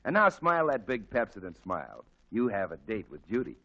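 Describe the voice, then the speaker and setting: A man announcing a 1940s radio show and reading its sponsor's ad in a smooth, cheerful salesman's manner. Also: lowish voice, huge pitch swings, a little fast, loud music behind the voice. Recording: muffled 1940s radio recording.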